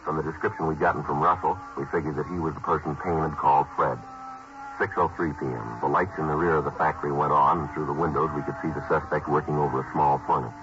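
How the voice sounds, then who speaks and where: A clipped, deep-voiced police detective. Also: male voice, quick pace, over music. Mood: flat narration. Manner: clipped, flat, matter-of-fact delivery. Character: terse male police detective narrating his case. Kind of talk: 1950s radio drama acting. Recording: muffled old radio broadcast with hiss.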